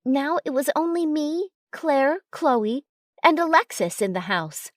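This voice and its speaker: A woman reading a first-person story as a narrator, in an expressive way. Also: high voice, measured pace, no room sound, clean studio sound. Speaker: audiobook narrator.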